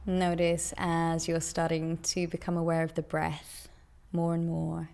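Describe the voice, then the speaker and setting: Female meditation guide: lowish, gravelly with vocal fry, very even delivery, gentle and deliberate. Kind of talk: guided meditation, soothing instruction.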